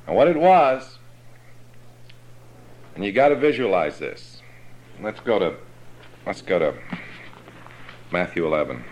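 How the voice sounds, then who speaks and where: A man teaching a Bible class in a sermon style, explaining slowly and checking that his listeners understand. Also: low-pitched, lively intonation, darkish tone, a little hiss or hum, poor audio.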